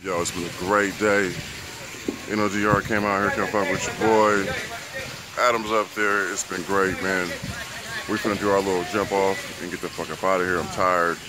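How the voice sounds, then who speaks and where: A man's voice: sits low, level tone, moderate pace, low-quality recording. A man hosting a casual fishing vlog outdoors, talking in hyped slang with frequent swearing. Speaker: host of a fishing show. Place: outdoors on a fishing boat and dock. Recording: windy outdoor recording, loud boat engine noise. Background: wind, water, boat engine.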